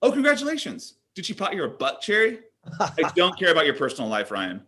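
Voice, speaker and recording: A man acts out a brash thirty-something executive in a comedy read. His voice is high and sharply bright, and he sells everything with crass, pushy, overblown patter. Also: wildly swooping pitch, fairly quick, exaggerated voice. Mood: pushy, callous. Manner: overblown salesman patter, dramatic exclamations.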